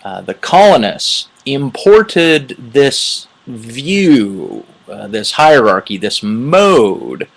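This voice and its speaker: A man talking through a response video in rambling spoken commentary, halting and wordy, with lots of fillers, restarts and throat clearing. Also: medium pitch. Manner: wordy, academic phrasing. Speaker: video blogger responding to another video.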